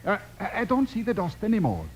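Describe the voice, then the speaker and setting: An actor playing an excitable cowboy companion who hesitates and repeats words, in an old-time Western radio drama. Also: male voice, high-pitched, fast talker. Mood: eager, uneasy. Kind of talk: 1940s-50s Western radio acting. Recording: faintly noisy old radio recording.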